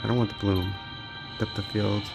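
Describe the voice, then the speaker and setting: Low, creaky-voiced man, casual tech commentary. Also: level tone, normal speed, music in the background. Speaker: gaming handheld reviewer.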